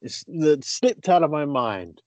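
A man's voice: on the high side, very animated, measured pace, a little mellow, no echo. Easygoing man, hesitant podcast chat. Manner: easygoing, hesitant, laughs along. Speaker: podcast co-host. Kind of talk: casual chat. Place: bedroom at home.

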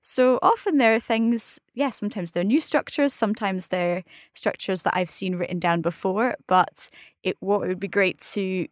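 A woman's voice: mid-range voice, normal speed, no echo, clean studio sound. A woman with a Scottish accent, an advanced French learner, talking through her approach in a thoughtful, conversational way with frequent ums. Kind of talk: casual conversational explanation.

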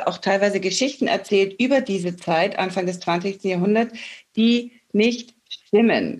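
German woman hosting a podcast. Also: medium pitch. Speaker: podcast host. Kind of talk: interview questions and intro.

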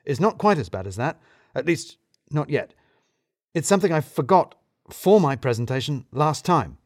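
A man's voice: on the high side, big pitch swings, moderate pace, no room sound, studio quality, voice pushed up. A man voicing a fretful, obsessive office worker turned amateur detective, explaining things emphatically in an audio story.

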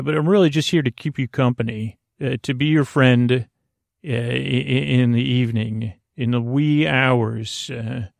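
Creaky-voiced man, soothing bedtime rambler. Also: mid-range voice, no echo, studio quality. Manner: meandering, digressive, silly, halting with self-corrections; lulling, soothing. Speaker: podcast host. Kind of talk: rambling bedtime sleep podcast monologue.

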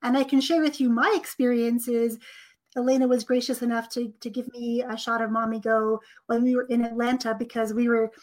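A woman's voice: fairly high pitch, level tone, a little fast, a little mellow, poor audio. A woman hosting a casual interview, upbeat and friendly as she reads audience questions. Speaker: interview host.

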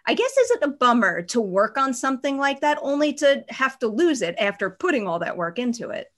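A woman's voice: high voice, very animated, a little fast, fairly bright tone. A woman interviewer asking questions in a chatty, casual way. She speaks as an enthusiastic fan and pokes fun at her own questions. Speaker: entertainment interviewer.

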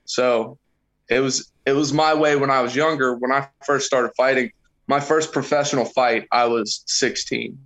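A man's voice: mid-range voice, fairly even intonation, normal speed, fairly bright tone, rough recording. Profane joking man in his thirties. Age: 30s. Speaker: podcast guest. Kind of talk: casual podcast chat.

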